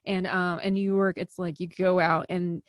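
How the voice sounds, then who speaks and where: A woman's voice: fairly low pitch, level tone, normal speed, no room sound, clean studio sound. A young woman with a Chicago accent, chatting casually in an interview and laughing often between many fillers. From American Midwest. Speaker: actor, interview guest.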